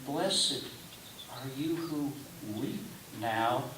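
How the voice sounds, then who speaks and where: A man reads scripture solemnly and very slowly, declaiming each short phrase and pausing between them. He is recorded at some distance in an echoey space, with clear hiss behind the voice. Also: mid-range voice. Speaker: scripture reader. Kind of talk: solemn liturgical scripture reading. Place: roomy indoor church gathering space. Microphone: distant microphone.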